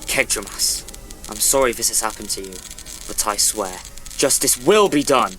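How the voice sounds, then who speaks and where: A man's voice: lowish voice, normal speed, loud music behind the voice, close to an everyday voice, low-quality recording. A man playing an earnest, steadfast commander in a fantasy audio drama. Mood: solemn, vowing.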